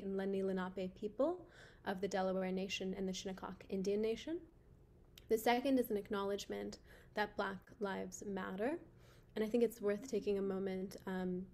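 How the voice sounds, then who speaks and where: A woman's voice: medium pitch, mellow. A woman hosting an online event, giving a prepared introduction over a video call. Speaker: event host.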